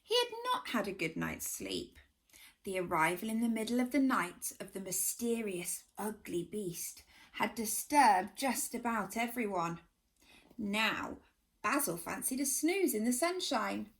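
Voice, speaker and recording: Storyteller: woman, slow, lively and gently dramatic children's read-aloud. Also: medium pitch, husky, rough recording. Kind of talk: reading a children's picture book aloud.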